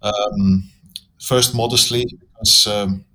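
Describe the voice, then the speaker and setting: A Belgian man with a Flemish accent talks through his story as an interview guest, his voice sitting on the lower side. He speaks thoughtfully and unhurriedly, with plenty of ums and small restarts. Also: fairly even intonation, slow pace, pushed and bright. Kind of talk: casual interview conversation.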